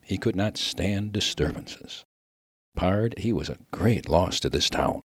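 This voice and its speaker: A man voicing a rough, good-natured Old West miner with a rustic American accent and a slangy, emphatic way of talking in a humorous story. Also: deep voice, lively intonation, slow, dry recording, studio quality, full character voice. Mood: fervent, admiring. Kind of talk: dramatic reading of a humorous story.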